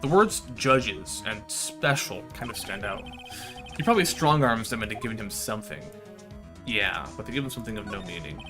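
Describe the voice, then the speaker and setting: A man's voice: on the low side, lively intonation, normal speed, over music. A man giving casual, slangy gaming livestream commentary, swearing and chatting to his viewers. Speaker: live streamer.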